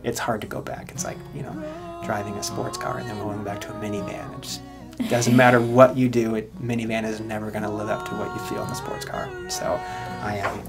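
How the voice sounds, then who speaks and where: A man, a singer-songwriter, chats in an interview in a level, even voice, pausing often with ums as he speaks earnestly. Guitar music plays clearly beneath his talk. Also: fairly low pitch. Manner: earnest, many filler pauses. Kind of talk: casual interview chat. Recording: music playing underneath.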